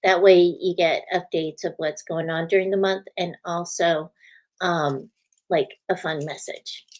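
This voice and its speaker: A woman's voice: lowish voice, steady tone, unhurried, darkish tone. A woman hosting a spiritual show in a casual chat, greeting viewers by name and laughing often, her voice a little scratchy tonight. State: slightly scratchy voice. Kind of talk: casual live-stream chat.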